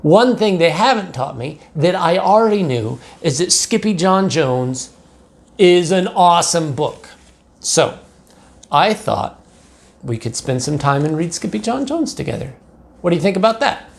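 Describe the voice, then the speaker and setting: A man's voice: fairly high pitch, big pitch swings, measured pace, gravelly. American man reading aloud to young children. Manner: simple, direct address to young children. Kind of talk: children's storybook read-aloud.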